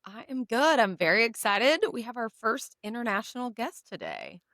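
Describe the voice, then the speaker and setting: A woman co-hosting a podcast in friendly, excited chat. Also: fairly high pitch, normal speed, no room sound, studio quality. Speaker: podcast co-host.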